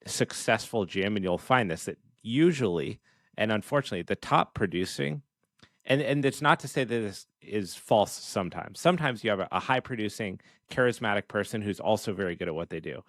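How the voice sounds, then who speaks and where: A man speaks as a podcast host in relaxed conversation. His pitch is a little low, and he builds his explanation phrase by phrase. The recording is dry, with no room sound. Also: a little fast, no echo.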